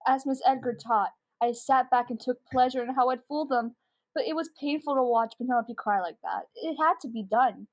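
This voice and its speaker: A young girl performs a scheming schoolgirl in a comedic radio play. She speaks in a high voice, exasperated and intense, like someone confessing with pride. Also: a little fast, lightly acted. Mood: scheming, smug. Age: child about twelve.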